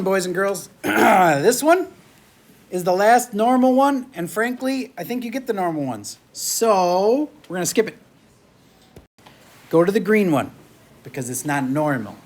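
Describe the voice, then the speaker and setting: A man's voice: a little high, slight background noise. A male math teacher lecturing a classroom in a chatty, joking way while losing his voice. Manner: chatty, jokey, moves around the room.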